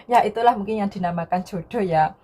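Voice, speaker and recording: A young adult woman from Indonesia telling a personal story to viewers in a casual vlog style, often repeating herself and tagging sentences with a soft filler word. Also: medium pitch, quick pace.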